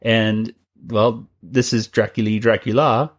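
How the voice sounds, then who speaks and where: A man's voice: fairly low pitch, steady tone, a little slow. A man co-hosting a podcast about a cartoon, talking casually and rambling a bit with restarts and laughs. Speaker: podcast co-host. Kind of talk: casual chat.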